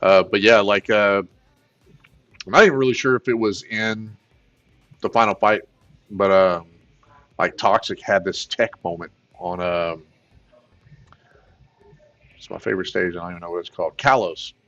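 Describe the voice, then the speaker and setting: A man with a plain baritone voice, hosting a casual gaming livestream, rambling with many fillers and excited bursts. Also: normal speed, pauses often, highs cut off, faint music behind. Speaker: gaming channel host.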